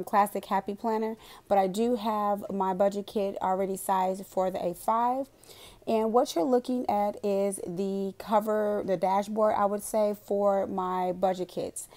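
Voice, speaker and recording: Hoarse woman vlogger recovering from a cold. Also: medium pitch, darkish tone. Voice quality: raspy. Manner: chatty, rambling, with frequent "um" and laughs. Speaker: planner and budgeting vlogger. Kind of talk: casual voiceover narration. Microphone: voiceover recorded separately from the footage.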